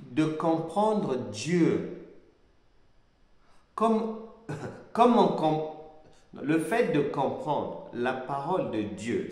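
A middle-aged man giving a sermon-style Bible lesson, speaking slowly and emphatically, repeating his key phrases, recorded at a desk webcam in a small indoor room. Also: on the high side. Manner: slow, emphatic, repeats phrases, animated hand gestures. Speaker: pastor teaching a Bible course. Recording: echoey room with long reverb.

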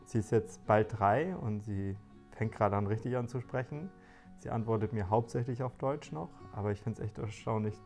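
A man in his forties talking in a relaxed interview about family life, thoughtful and full of small fillers and restarts, recorded on a lapel mic indoors. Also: on the low side, moderate pace, vocal fry, faint music behind. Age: 40s. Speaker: interviewee, father on a shared farm. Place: bright living room indoors.

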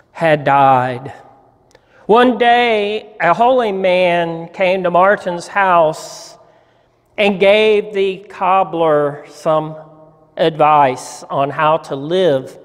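A man in his sixties, a pastor, giving a dramatic storytelling reading from a pulpit with measured, deliberate phrasing. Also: sits high, fairly bright tone. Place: church sanctuary, at a lectern. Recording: reverberant hall, long echo.

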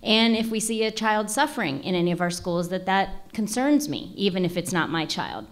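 A woman in her forties, a school board candidate, speaking clearly and directly into a desk mic. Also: mid-range voice, lively intonation, moderate pace. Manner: clear and direct, with emphatic phrasing. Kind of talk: forum statement. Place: meeting room at a panel table. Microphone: desk mic on a stand.

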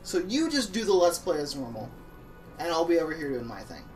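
A man's voice: fairly high pitch, big pitch swings, a little fast, a little mellow, far from the mic, faint music behind, rough recording. Wry young man, slightly off-mic. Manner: wry, joking. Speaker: let's play co-host. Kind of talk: casual gaming banter.

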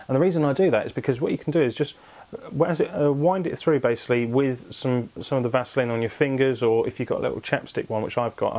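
A man's voice: mid-range voice, a little mellow. Young man, angling host, casual unscripted explainer, fillers and self-corrections, home office, camera mic. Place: small home office, indoors.

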